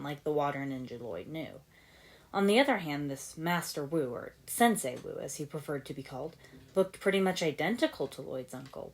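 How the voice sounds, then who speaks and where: A woman narrating a scripted story as an amateur audiobook reader. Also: deep voice.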